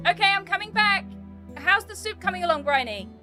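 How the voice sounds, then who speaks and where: A woman's voice: very high-pitched, animated, brisk, bright, forceful tone, heavily acted. A woman playing a practical, protective knight bodyguard in an audio drama, casual and dryly matter-of-fact. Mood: friendly. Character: practical, wry female knight bodyguard, protective, grumbles amiably. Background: music.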